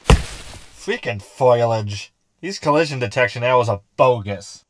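A jittery hologram crewman, played by a man from a comic sci-fi audio drama. He is sarcastic and whiny, with a mid-range voice that jumps about excitedly. There is slight noise behind him. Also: medium pitch, unhurried, close to an everyday voice. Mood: sarcastic, grumbling.

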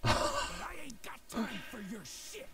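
A drawling outlaw bully. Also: male voice, very high voice, wildly swooping pitch, vocal fry, bright, forceful tone, faint music behind, full character voice. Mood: taunting. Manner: drawling, sneering, slow taunts. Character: swaggering male outlaw bully, mocking and menacing.

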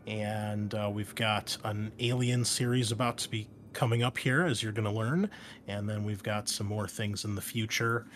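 A man's voice: fairly low pitch, fairly even intonation, normal speed, fairly bright tone, a little hiss or hum. A man speaking as a podcast host and game master, giving a casual introduction to his channel. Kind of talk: casual scripted-outline introduction to a gaming channel.

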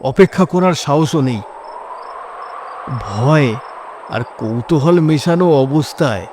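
A man's voice: fairly high pitch, expressive, slow pace, background noise. A man narrating a scripted first-person horror story in a suspenseful, dramatic delivery. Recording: music behind the voice.

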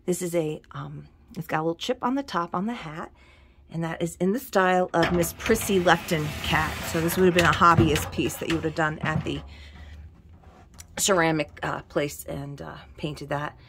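A woman's voice: sits low, normal speed, noisy background. A middle-aged woman giving a chatty shop tour of her antique store, pricing pieces as she films with a handheld phone. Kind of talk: casual video shop tour. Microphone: handheld phone camera.

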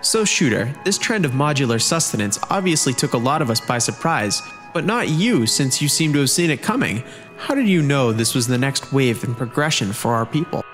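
A man playing a smooth-talking, slick host of a futuristic podcast show in a comedy parody. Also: mid-range voice, big pitch swings, fairly quick, a bit bright, faint music behind. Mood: upbeat. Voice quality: smooth, slick announcer delivery.

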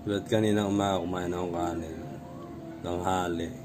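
Low-voiced man rambling over music. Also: flat delivery, normal speed. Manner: rambling, repeats himself, loose restarts. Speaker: vlogger. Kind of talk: casual home workout vlog. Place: home room with gym gear. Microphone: phone camera.

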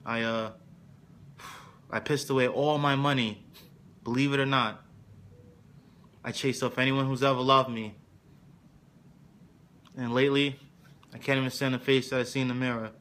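A man's voice: medium pitch, steady tone, moderate pace, pauses often, natural voice. A man playing a weary middle-aged football coach giving an intense, dramatic film monologue to his team. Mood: regretful, confiding. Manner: intense, swings from quiet confessing to shouted exhortation. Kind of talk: dramatic film monologue, locker-room speech.

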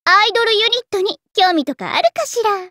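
A woman voicing an excitable, girlish fantasy character in an anime game, with a theatrical, cutesy delivery. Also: very high voice, fast, no room sound, clean studio sound, full character voice. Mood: amazed, excited. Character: excitable, girlish idol and demon girls. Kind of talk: scripted anime game voice lines.

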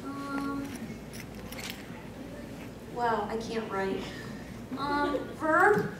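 Young woman doing anxious awkward anime character, high n slow, hosting a con panel. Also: lively intonation, heavily acted. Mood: awkward, fretful. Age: young adult. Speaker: fan convention panel host. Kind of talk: live panel word game and mock-dramatic poetry reading. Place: convention panel room, handheld mic through PA. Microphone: handheld mic over speakers, distant camera. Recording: echoey convention hall from far, noisy.

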